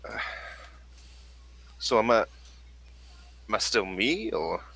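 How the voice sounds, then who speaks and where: A man with a husky voice casually role-playing in character, grumbling and narrating his character's actions. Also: lowish voice, very animated, very slow, a bit bright, dry recording.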